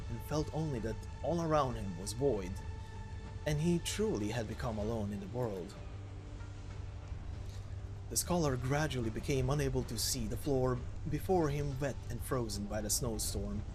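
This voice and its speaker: A man voicing a theatrical traditional storyteller who recounts a tale in measured, archaic, rhetorical phrases. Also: medium pitch, close to an everyday voice. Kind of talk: dramatic storytelling.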